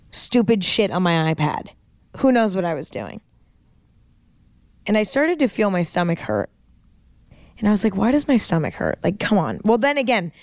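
A young woman hosting a podcast with a raspy voice, telling stories in a chatty, rambling way. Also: mid-range voice, no room sound, studio quality. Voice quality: raspy today. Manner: chatty, rambling with lots of likes. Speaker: podcast host. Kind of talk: casual storytelling monologue.

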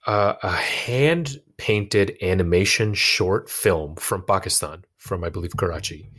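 A man with a notably low voice and an American accent speaks as a guest in a casual interview. His phrasing is informal and loose, circling back and feeling for words as he goes. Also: a bit bright, slightly rough. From United States. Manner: informal, hesitant phrasing with frequent "like" and "you know". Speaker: interview guest, actor and musician.

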